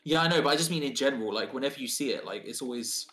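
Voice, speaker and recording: A man's voice: mid-range voice, rapid-fire, fairly bright tone. A man chatting casually on a podcast, rambling with lots of fillers and restarts.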